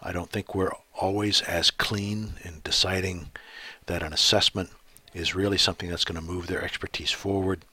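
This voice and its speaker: A middle-aged man giving an educational talk, thoughtful and pausing often with ums and uhs. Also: deep voice, steady tone, pushed and bright, breathy edge, dry recording. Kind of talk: presentation.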